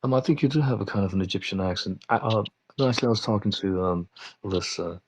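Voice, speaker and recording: A man from Manchester, a guest on a podcast panel, talking in halting bits full of "um" pauses and restarts. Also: lowish voice, husky. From Manchester, England. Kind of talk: casual chat.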